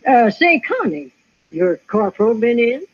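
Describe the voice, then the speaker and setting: A dull-sounding vintage radio western features a brassy saloon madam. Her voice is fairly high yet husky, and she speaks with shrewd, earthy familiarity and a teasing edge. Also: very animated, measured pace, exaggerated voice. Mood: brisk, curious. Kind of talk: 1950s radio western acting. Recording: muffled old-time radio recording.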